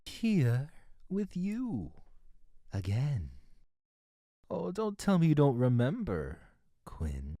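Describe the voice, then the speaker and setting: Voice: mid-range voice, wildly swooping pitch, slow pace, pauses often, warm tone, no room sound, studio quality, full character voice. An actor playing a sly, enigmatic murder suspect in an audio drama, polite and evasive with a theatrical, teasing manner. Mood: teasing, knowing.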